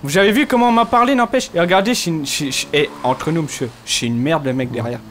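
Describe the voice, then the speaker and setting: A man's voice: on the high side, fast talker, fairly bright tone, a little hiss or hum. A man clowning through improvised video game roleplay, crude and repetitive in the way he talks. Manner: crude, clowning, repeats himself.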